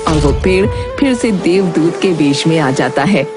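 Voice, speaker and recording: Female narrator, scripted children's storytelling, slightly low pitch, clear background music. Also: expressive, moderate pace.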